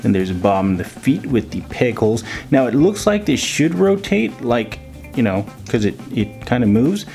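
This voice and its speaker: Lively, animated man reviewing toys. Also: mid-range voice, normal speed, creaky, soft background music. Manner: playful, silly made-up words and sound effects. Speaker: toy reviewer and video host. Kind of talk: casual, jokey action figure review voice-over.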